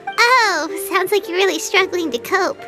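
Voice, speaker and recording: A woman voicing a tiny floating fairy companion who is chirpy and blunt in a game cutscene, with a very high squeaky voice and a teasing, bouncy delivery. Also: a little slow, soft background music, heavily acted. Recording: game score playing loudly behind.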